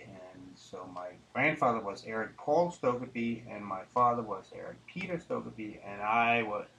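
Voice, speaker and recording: A middle-aged man from Denmark, talking conversationally and haltingly as an interview guest. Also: on the low side, bright, forceful tone, low-quality recording. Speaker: interview guest, tobacco businessman. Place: studio, live interview. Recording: clear background noise.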